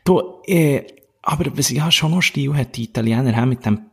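A man with a Swiss German accent and a husky, gravelly voice, chatting casually as a podcast co-host in loose, slangy phrases. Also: mid-range voice, normal speed, a bit bright. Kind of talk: casual chat.

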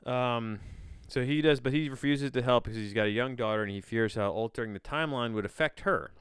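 A man's voice: medium pitch, fairly quick, clear, resonant tone, dry recording, clean studio sound. A man hosting a podcast, reading aloud with casual, rambling commentary and incredulous, sardonic asides. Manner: casual swearing. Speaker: podcast host. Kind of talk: casual solo commentary while reading a plot synopsis aloud.

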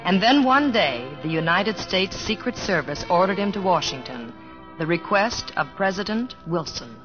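A woman acting as a comedian's devoted wife, earnest and gently nagging, narrating and playing scenes in an old radio drama. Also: lowish voice, very animated, moderate pace. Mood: fond reminiscing. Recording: dull vintage radio recording, faint music.

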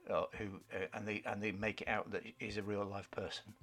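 A man co-hosting a podcast, chatting casually about film trailers in a husky voice, rambling and searching for words. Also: fairly low pitch, level tone, fairly quick, a bit bright. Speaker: podcast co-host.

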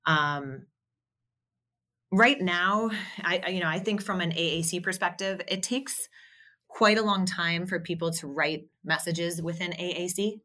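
Bright-voiced woman speech therapist explaining. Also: lowish voice. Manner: explanatory, measured, with frequent fillers and restarts. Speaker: speech-language pathologist guest. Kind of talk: conversational interview answers.

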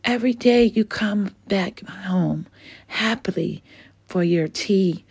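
A caring mother dragon fusses softly over her son in a bedtime storybook, slipping into whispers. The voice is low, dark and gravelly, slow and husky, with a woman acting her out. It sounds dry and close. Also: no echo, heavily acted. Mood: tender.

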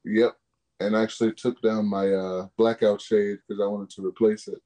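A man's voice: on the low side, flat delivery, normal speed, mellow. A man co-hosting a casual live video podcast over a video call, giving brief, easygoing replies. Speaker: podcast co-host.

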